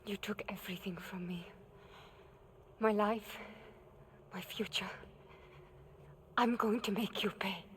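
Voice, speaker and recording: An actress playing a determined, defiant young heroine in a video game drama, speaking in a terse, intense way. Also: female voice, mid-range voice, fairly even intonation, takes it slowly, long pauses, fairly bright tone, a little hiss or hum.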